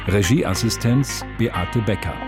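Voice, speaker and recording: Very low, unhurried male announcer. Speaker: announcer of radio play credits. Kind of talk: measured scripted announcement. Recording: guitar music behind the announcement.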